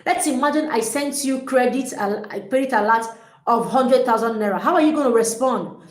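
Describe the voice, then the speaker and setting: A woman giving an energetic, emphatic motivational talk as a relationship coach, often repeating her points. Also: fairly high pitch, normal speed. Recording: echoey room.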